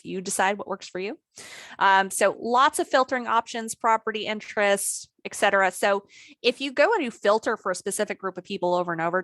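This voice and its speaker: Woman, software trainer, conversational, mid pitch, slightly worn voice, dry close mic. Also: no room sound. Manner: conversational, lots of "maybe" and "you know". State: losing her voice a little. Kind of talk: screen-share software tutorial.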